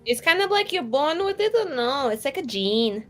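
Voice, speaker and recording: Girl playing a rebel mage in a tabletop rpg, highish voice, slangy, unsure but down for it. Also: big pitch swings, normal speed, soft background music. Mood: matter-of-fact. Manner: slangy, informal, hesitant. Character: young rebel mage woman, slangy, uneasy but game. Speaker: tabletop role-playing player. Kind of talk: casual improvised in-character actual play.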